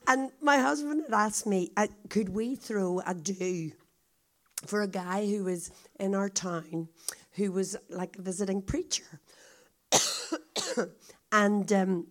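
A woman with a croaky, hoarse voice, a visiting speaker telling a story to a congregation in a chatty, confiding way. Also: mid-range voice, takes it slowly, pauses often. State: hoarse, coughing.

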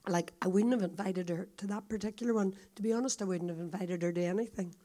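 A woman with a croaky, hoarse voice, a visiting speaker telling a story to a congregation in a chatty, confiding way. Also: mid-range voice, fast talker, mellow. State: hoarse, coughing.

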